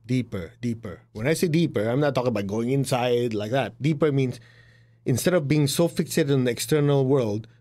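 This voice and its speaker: A middle-aged man with a Chinese accent gives a blunt, direct spiritual talk as a teacher. He acts out the voices of the people he quotes and laughs at his own jokes. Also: medium pitch.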